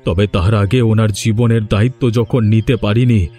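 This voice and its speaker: A man playing a proud, domineering village landlord in a cartoon drama, speaking with deliberate authority. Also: fairly low pitch, steady tone, warm tone, faint music behind, close to an everyday voice. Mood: remorseful. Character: stern, domineering village landlord, male, proud but remorseful. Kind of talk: Bengali cartoon drama dialogue.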